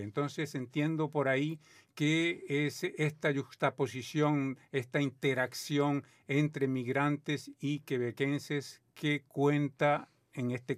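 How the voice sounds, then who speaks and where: A man hosting a radio interview, asking measured, deliberate questions. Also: a little high, dry recording, clean studio sound. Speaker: radio host.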